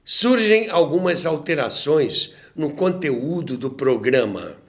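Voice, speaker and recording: A man's voice: on the high side, sing-song, slow. A Brazilian man hosting and narrating a scripted history documentary, explaining things in a didactic way with conversational asides to the listener. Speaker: host and narrator of a radio history show.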